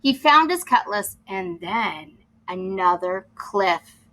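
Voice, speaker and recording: A woman's voice: medium pitch, lively intonation, slow, pushed and bright. A woman reading a children's story aloud expressively, as a teacher would, explaining to young listeners as she goes.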